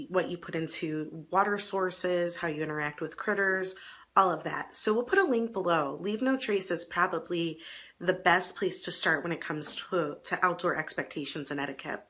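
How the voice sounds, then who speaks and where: A middle-aged woman, a bit hoarse from a cold, hosting an advice show in a chatty, self-deprecating way with small laughs and restarts. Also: on the low side, a bit bright. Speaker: advice column host. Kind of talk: casual advice talk to camera.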